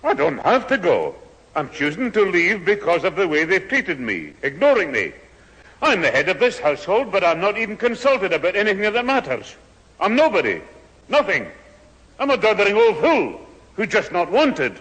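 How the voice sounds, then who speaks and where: An actor playing a stubborn, self-pitying old grandfather in a 1970s radio sitcom, with a husky, wounded delivery. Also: sits high, sing-song, moderate pace, a bit bright, full character voice. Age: older. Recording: echoey old radio hall recording.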